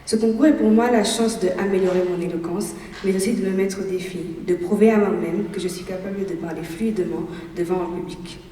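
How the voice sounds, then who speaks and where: A woman's voice: medium pitch, steady tone, a little fast, mellow, slight background noise. A fifteen-year-old Angolan girl, native Portuguese speaker, introducing herself carefully as a debate moderator. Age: teenager of 15. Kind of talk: formal self-introduction. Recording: very echoey hall, distant voice.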